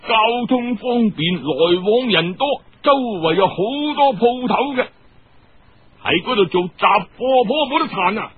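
A man's voice: sits high, husky, phone-quality sound, full character voice. Man playing a coaxing uncle, swooping intonation. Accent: Cantonese. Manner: colloquial, coaxing, emphatic. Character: keen, persuasive older uncle pitching business.

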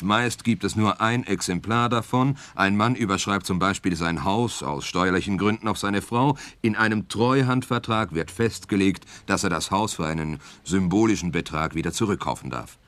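Detective's client in a radio play: low voice, earnest and hesitant, explains things precisely. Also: male voice, moderate pace, few pauses, a bit bright. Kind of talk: scripted radio play dialogue.

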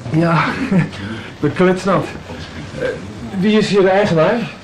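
A man's voice: high voice, expressive, takes it slowly, rough recording. An actor playing a polite, naive young man on the road, speaking hesitantly in a radio play. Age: young adult. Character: polite, naive young traveler seeking lodging.

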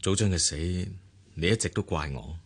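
An actor with a Hong Kong Cantonese accent playing a bitter, insistent, aggrieved husband in a radio drama, with a husky voice. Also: male voice, sits low, expressive, normal speed, slight background noise. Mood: self-justifying.

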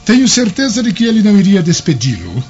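A man's voice: sits high, huge pitch swings, fast, gravelly, darkish tone, faint music behind, exaggerated voice. A man playing a composed, probing Roman governor in a radio drama. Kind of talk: radio drama acting in Portuguese. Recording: muffled radio drama recording.

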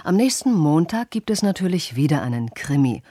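A female radio announcer reads in a formal, measured way. Her voice is low, dark and husky, very dry and close, with faint music. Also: sing-song, no room sound. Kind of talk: scripted announcement.

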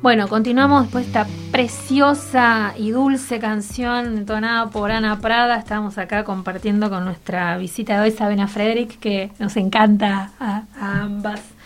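A woman's voice: medium pitch, steady tone, normal speed, faint music behind. A woman with a Rioplatense Spanish accent hosting a conversational radio interview, phrasing things in a tentative, meandering way.

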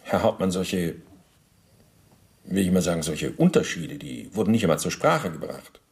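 An actor playing an evasive, indignant former SS officer under interrogation in a radio play, halting, emphatic and formally deferential as he justifies himself. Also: deep voice, animated. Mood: formal, defensive. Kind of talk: docudrama radio play dialogue.

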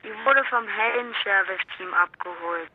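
An actress playing an excitable young boy over a telephone filter in a children's radio play. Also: medium pitch, normal speed, husky. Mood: casual. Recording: tinny, hissy phone line.

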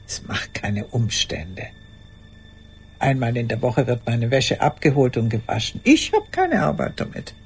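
An actress playing an old woman in a radio play monologue, courteous and haunted, recalling the past in a halting, breathy, raspy voice. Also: rumbling low, wildly swooping pitch, a little hiss or hum, exaggerated voice. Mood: courteous, solicitous. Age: older. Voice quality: husky, raspy, breathy, often dropping to a whisper. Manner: deliberate, reflective, halting.

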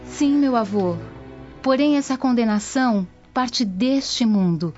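An actress playing a devout young Roman woman in a radio drama. Her voice is fairly high, delivering earnest, declamatory speeches with reverence. Slightly muffled sound, faint music behind. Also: takes it slowly, darkish tone. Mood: earnest, gentle. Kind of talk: radio soap opera acting. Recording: dull lo-fi audio with faint music.

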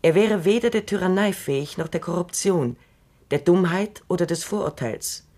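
An actress playing Doctor Calvin, a shrewd, dry and assured elderly woman robot psychologist, speaking in a measured, knowing way in a radio drama. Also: fairly low pitch, darkish tone, lightly acted. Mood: wry.

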